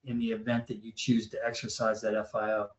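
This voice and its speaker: A man moderating an online panel discussion. He talks in a relaxed way, thinking aloud with fillers and repeats. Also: on the low side, a little fast. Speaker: webinar moderator. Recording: roomy, dull lo-fi audio.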